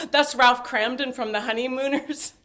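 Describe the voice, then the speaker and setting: A woman's voice: high voice, unhurried, a bit bright. An actress playing a talkative, flippant older mother in a stage play, rambling and joking theatrically with stammered asides. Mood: exuberant.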